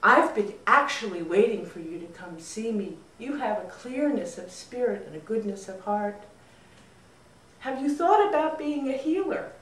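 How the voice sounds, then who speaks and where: A woman storyteller voicing a wise, patient village healer who advises in a measured, soothing way. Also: fairly high pitch, very animated, a bit bright, slight background noise. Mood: patient, encouraging. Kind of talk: live storytelling. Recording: roomy echo, distant mic.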